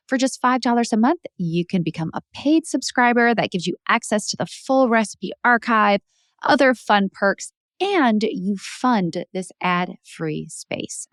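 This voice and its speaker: A woman's voice: mid-range voice, no room sound, studio quality. A woman hosting a podcast, inviting and attentive, asking questions and giving encouraging murmurs. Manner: warm, encouraging listener, many "mm" backchannels. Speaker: podcast host.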